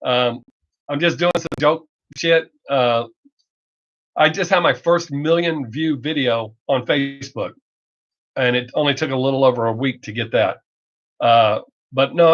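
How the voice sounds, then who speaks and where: A middle-aged man with a Southern American accent and a drawl he talks over, chatting casually and bluntly on a livestream, rambling and joking. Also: on the high side. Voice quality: Southern drawl masked by fast talk. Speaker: reaction video creator hosting a live stream. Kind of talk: casual livestream chat, off the cuff. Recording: dull lo-fi livestream audio.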